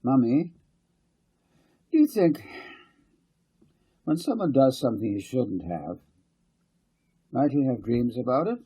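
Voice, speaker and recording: A man voicing an earnest, excitable boy in an audiobook story. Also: medium pitch, slow pace, pauses often, breathy edge, voice pushed up, full character voice. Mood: hesitant, wondering.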